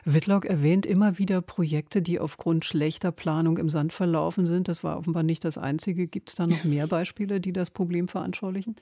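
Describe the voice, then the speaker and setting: Bright, dry-sounding female radio presenter. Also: lowish voice, fairly even intonation, brisk, hardly any pauses, no room sound. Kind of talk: broadcast book-review interview, scripted introduction and questions. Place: radio studio.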